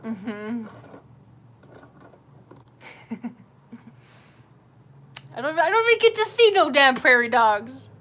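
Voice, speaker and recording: A woman's voice: sits high, very animated, slow, long pauses, a bit bright. Candid, sweary woman streamer, noisy background. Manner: candid, sweary, self-deprecating. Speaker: livestream host. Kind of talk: casual rambling livestream chat.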